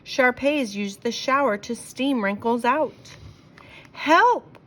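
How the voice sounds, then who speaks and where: A woman reading rhyming verse aloud for children in an expressive, theatrical storytelling way, leaning on the rhymes. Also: fairly high pitch, measured pace.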